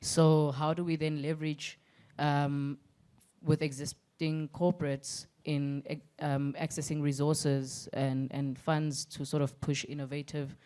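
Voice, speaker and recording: A woman's voice: low voice, little pitch movement, unhurried, warm tone, clean tone. A woman answering as a startup founder on a panel, earnest.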